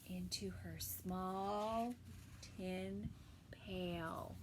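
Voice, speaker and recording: A woman preschool teacher reading a picture book aloud to young children, adding gentle asides and soft whispered comments. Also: on the low side, fairly even intonation, very slow, background noise.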